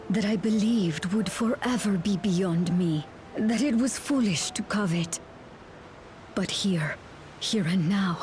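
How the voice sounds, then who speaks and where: An actress plays a woman bound in service to a ruthless master. She speaks very deliberately and slowly, hushed and full of longing. It is video game drama with faint ambient noise behind. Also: mid-range voice, close to an everyday voice. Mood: yearning. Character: yearning, devoted female servant of a ruthless master.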